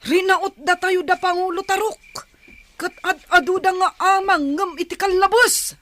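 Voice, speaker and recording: A loyal young warrior woman of a tribe, with a very high-pitched voice. She is alert and earnest, in the manner of a radio play. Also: steady tone, moderate pace, a bit bright. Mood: alarmed, urgent. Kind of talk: radio drama acting.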